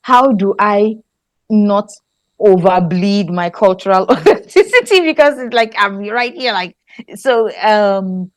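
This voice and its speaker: A woman from Nigeria with a Nigerian accent, a guest scriptwriter chatting in an interview, thoughtful and quick to laugh, often restarting her sentences. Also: medium pitch, expressive, no echo, studio quality. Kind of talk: casual interview chat.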